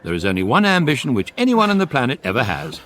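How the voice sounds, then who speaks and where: An actor playing a wry, deadpan British narrator of a space guide, delivering measured scripted comic narration for radio. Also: male voice, mid-range voice, a little hiss or hum. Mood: dryly amused.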